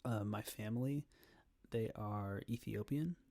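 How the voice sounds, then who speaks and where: A young man giving an informal prepared speech in an earnest, hesitant manner with frequent pauses. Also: fairly low pitch, vocal fry, darkish tone. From Canada, Ontario. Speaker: student giving a persuasive speech.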